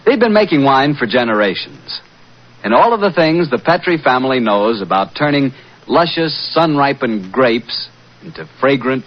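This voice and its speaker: A man with an American accent working as a radio announcer in polished 1940s style. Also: medium pitch. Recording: muffled 1940s radio recording with music.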